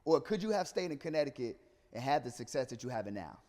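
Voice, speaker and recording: A man's voice: medium pitch, sing-song, quick pace, a little mellow. A man hosting a casual interview, chiming in with affirmations and repeating himself for emphasis. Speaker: podcast host. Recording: reverberant hall-like room echo.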